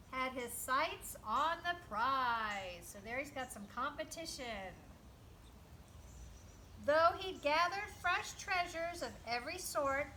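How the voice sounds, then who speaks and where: A woman reading a picture book aloud to children in an animated, teacherly way, with emphatic delivery and explanatory asides. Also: sits high, a little hiss or hum. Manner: animated, emphatic, teacherly, asks listeners questions.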